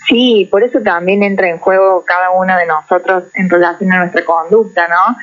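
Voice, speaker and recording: A woman psychologist, speaking as a guest in a radio interview, explaining in a measured way and often checking in with "¿no?". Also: on the low side, fairly even intonation, fairly bright tone. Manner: measured, explanatory, tags phrases with "¿no?". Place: radio studio. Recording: muffled lo-fi radio recording.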